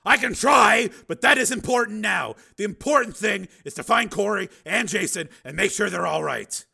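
A hard-edged man who has become a machine, raising his voice sharply and pressing every point, as a male reader puts him on. The tone is tense and high, with a rough gravelly grain. Rendered as a dramatized audiobook. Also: expressive, normal speed, exaggerated voice. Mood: firm. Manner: forceful, shouting, insistent.

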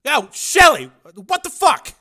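An excitable, slangy street thug played by a man reading aloud, pitched high and swooping. He is jumpy and crude, blurting in a sharp voice. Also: slow pace, heavily acted. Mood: agitated. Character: jumpy, foul-mouthed male street thug.

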